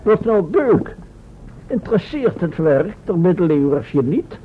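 A brisk, curious professor in a radio drama. His voice is fairly high and very animated, used to giving orders. The recording is narrow and tinny, with background noise. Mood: brisk, commanding. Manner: brisk, commanding, inquisitive. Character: eager, bossy, curious male professor. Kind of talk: radio play acting. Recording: tinny, noisy old radio play recording.

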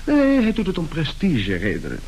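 An actor playing the chatty, amused son of a rich family in a radio play, gossiping with relish. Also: male voice, a little high, wildly swooping pitch, fairly quick, a little mellow. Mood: gossipy, amused. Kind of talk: radio drama acting. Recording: hissy old radio drama recording.

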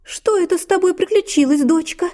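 A woman voicing a bossy, shrill peasant mother in a fairy-tale reading, scolding and exclaiming. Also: brisk, mellow. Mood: astonished. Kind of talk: storybook reading.

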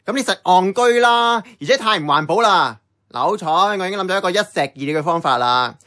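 A man's voice: high voice, expressive, a little fast, pushed and bright. An actor with a Hong Kong Cantonese accent playing a casual, blunt young man in radio drama dialogue. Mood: blunt, exasperated.

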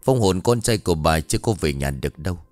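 A man playing a solemn, calm spirit master in a horror story, speaking in a grave, measured manner. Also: deep voice, dry recording, soft background music.

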